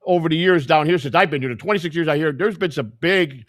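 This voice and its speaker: A man with a Chicago accent chats on a livestream, circling back and repeating himself as he goes. His voice sits a little high for a man and sounds dry and close, with no room echo. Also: quick pace. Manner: rambling, repeats words and restarts often. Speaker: co-host. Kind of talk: casual livestream chat.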